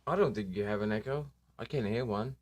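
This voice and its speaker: A man's voice: lowish voice, brisk, no echo. A man hosting a casual live stream, joking and self-deprecating, swearing now and then. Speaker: livestream host. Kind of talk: casual livestream chat.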